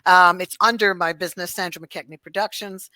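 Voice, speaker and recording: A fairly low-pitched, middle-aged woman with a bright, forceful tone, talking casually as an interview guest. She laughs often and restarts her sentences as she goes. The recording is dry and close, with no room sound. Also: fairly even intonation, fairly quick, no echo, low-quality recording. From Barbados, raised in Toronto. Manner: chatty, laughs often, many restarts and fillers. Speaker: guest, cultural sensitivity trainer and singer.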